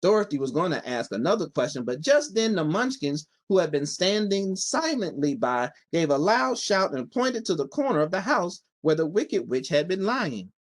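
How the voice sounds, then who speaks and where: A man narrates a children's storybook as a read-aloud storyteller. His delivery is animated and expressive, with pitch that moves freely up and down through each sentence. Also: normal speed, hardly any pauses. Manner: animated, changes voice for each character. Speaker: library storyteller reading aloud.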